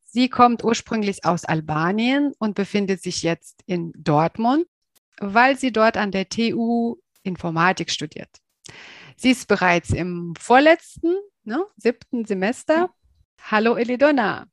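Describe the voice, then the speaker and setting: A woman hosts a podcast interview, greeting her guest and leading with questions in an easy, prompting manner. Her voice sits at a middle pitch, recorded close and dry. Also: lively intonation, a little slow, no room sound. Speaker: podcast host.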